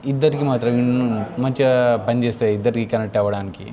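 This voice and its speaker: A man's voice: medium pitch, fast talker, dull audio, crowd noise. A man with a Telugu accent talking informally and thinking aloud as he casually walks through a product. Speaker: motovlogger unboxing and installing gear. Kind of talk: casual unscripted product walkthrough.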